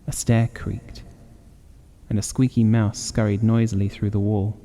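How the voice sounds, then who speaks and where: Dark, low-voiced male horror narrator. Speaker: audiobook narrator. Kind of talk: dramatic scripted horror narration.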